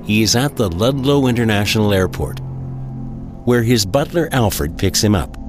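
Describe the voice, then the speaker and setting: Male narrator for audio drama: dramatic scripted storytelling, fairly low pitch, prominent music bed. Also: expressive, normal speed. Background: loud music.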